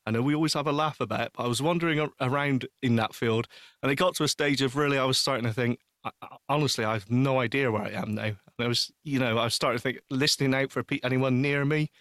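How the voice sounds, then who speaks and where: A man telling an anecdote in casual chat, animated and emphatic, repeating phrases for effect. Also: medium pitch, fast talker, no echo, clean studio sound. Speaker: podcast co-host.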